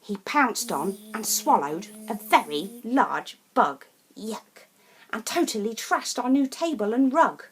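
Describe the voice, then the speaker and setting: A woman reads a rhyming children's picture book in a theatrical, deliberate and emphatic way. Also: fairly high pitch, very animated, slightly rough, rough recording. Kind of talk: expressive rhyming storybook narration.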